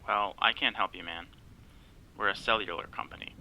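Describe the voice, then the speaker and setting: Low-voiced sardonic guy played by an actor. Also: normal speed, muffled recording, a little hiss or hum, close to an everyday voice. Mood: wry, exasperated. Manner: wry, sarcastic, exasperated. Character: sardonic, bossy male best friend named Larry, cellphone company worker. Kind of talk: naturalistic audio drama acting.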